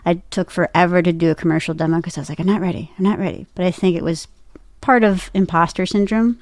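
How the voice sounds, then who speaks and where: A woman's voice: lowish voice, steady tone, quick pace, darkish tone, no echo. A woman giving a casual interview as a guest, telling personal stories and often quoting her own thoughts as she goes. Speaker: voice actor and podcaster, interview guest.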